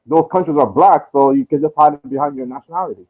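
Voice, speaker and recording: A man's voice: fairly high pitch, fast. Man on a tinny phone-like line. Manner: relaxed, thinking aloud with many fillers. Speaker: guest. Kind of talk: casual chat.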